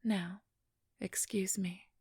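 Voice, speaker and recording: A woman's voice: medium pitch, little pitch movement, a little mellow, husky, dry recording, heavily acted. A woman playing a haughty, teasing, ageless vampire thief in an ASMR role-play, whispering breathily and condescendingly close to the microphone. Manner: condescending, teasing, drawn-out delivery. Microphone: close, dry microphone.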